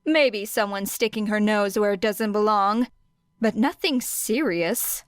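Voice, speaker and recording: A woman's voice: medium pitch, measured pace, clear, resonant tone, no room sound, studio quality, natural voice. A woman voicing a stern, commanding female hero in an audiobook, with a harsh, authoritative manner. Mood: grudging, uneasy. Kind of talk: audiobook character acting.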